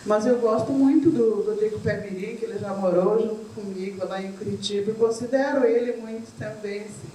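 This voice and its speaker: A woman's voice: medium pitch, a little fast, hardly any pauses, dark tone. A middle-aged woman from Brazil, telling her own story as a guest in a chatty way with many tag questions. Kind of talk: casual chat. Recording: echoey room from far off, faint noise.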